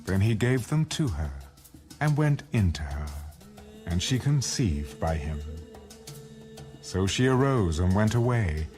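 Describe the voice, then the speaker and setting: Guy narrating audio bible, kinda low and expressive, music playing under him. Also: measured pace. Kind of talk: dramatic scripted narration.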